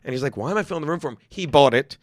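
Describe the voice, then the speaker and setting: A man's voice: on the high side, very fast, no room sound, studio quality. A man, a comedian telling stories in a casual podcast chat, laughing often and acting out quotes. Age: 50s. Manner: animated storyteller, laughs often, acts out quotes. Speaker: guest comedian.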